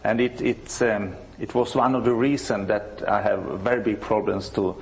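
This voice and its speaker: A man in his sixties from Sweden with a Swedish accent, a church musician telling of his conversion in halting English, searching for words. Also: lowish voice, steady tone, vocal fry, a little mellow, low-quality recording. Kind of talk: interview answers.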